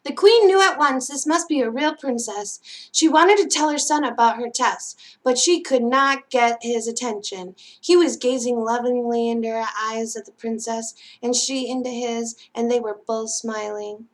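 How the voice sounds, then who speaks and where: A woman reads a children's tale aloud in a fairly high voice. She narrates in the style of a library storytime, telling the story as written. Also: moderate pace.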